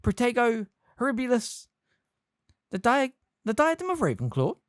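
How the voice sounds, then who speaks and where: A reader voicing a tiny elderly male wizard professor with a squeaky, fussy voice. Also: sing-song, normal speed, dry recording, studio quality, close to an everyday voice. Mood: flustered.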